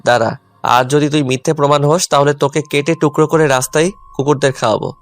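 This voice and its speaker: A man's voice: a little high, steady tone, fast, no room sound, natural voice. A man playing a hurt, angry, stubborn young husband in a dramatised audio love story. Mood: furious. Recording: music under the voice.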